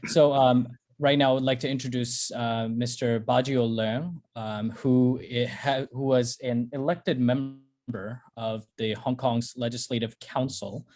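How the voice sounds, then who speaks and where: A man's voice: medium pitch, fairly even intonation, unhurried, a little mellow. A man moderating a panel, introducing speakers hesitantly with many fillers.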